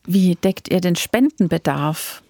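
Gravelly low-voiced woman interviewer. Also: lively intonation, measured pace, warm tone, dry recording, studio quality. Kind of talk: interview questions.

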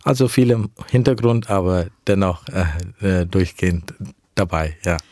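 A man's voice: deep voice, a little mellow, dry recording, clean studio sound. A young man whose first language is English, answering interview questions about mission work in careful, hesitant speech. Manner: earnest, measured, with frequent hesitations. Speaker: mission worker.

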